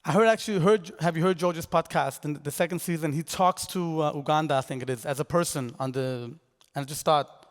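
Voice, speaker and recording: A young man from Denmark telling a conference audience about his podcast in a loose, rambling way. His voice is smooth and a bit higher than most men's, with a long reverb carrying through the hall. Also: a little fast. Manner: casual and rambling, full of fillers and restarts. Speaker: podcast creator presenting his work. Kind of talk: conference talk.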